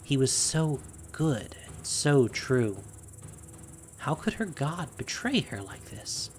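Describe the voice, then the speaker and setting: A man narrating a fantasy audio drama in a measured, theatrical storytelling style. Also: medium pitch, sing-song. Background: music and sound effects.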